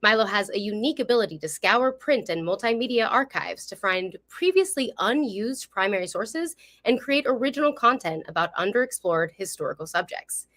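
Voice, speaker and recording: Woman, program host, medium pitch, upbeat and welcoming, scripted presenting style, live online show. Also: animated, brisk, few pauses, a bit bright. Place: live online video stream.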